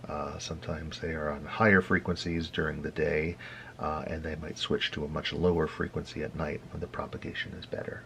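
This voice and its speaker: Very deep-voiced American man, casually explaining. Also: little pitch movement, gravelly, pushed and bright, a little hiss or hum. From United States. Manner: halting, conversational, wry. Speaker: host of a hobby radio tutorial. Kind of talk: informal explanatory presentation.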